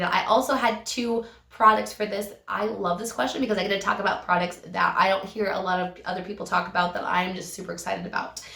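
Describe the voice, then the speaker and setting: Hoarse woman gushing over makeup. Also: mid-range voice, fairly bright tone, recorded from a distance. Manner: enthusiastic, gushing, slows down to stress favorite words. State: hoarse from a cold, deeper and raspy. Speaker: beauty vlogger. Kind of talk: casual product review. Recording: echoey room, voice a bit off-mic.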